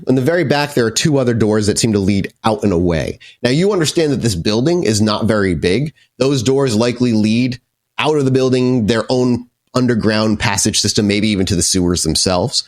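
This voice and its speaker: Animated male game master narrating. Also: mid-range voice. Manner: conversational, descriptive, profane. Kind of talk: improvised narration of a tabletop horror game.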